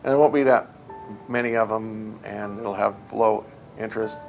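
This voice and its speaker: A man's voice: on the low side, steady tone, moderate pace, creaky, faint music behind. A man recalling past events in a documentary interview, telling anecdotes and quoting remembered conversations.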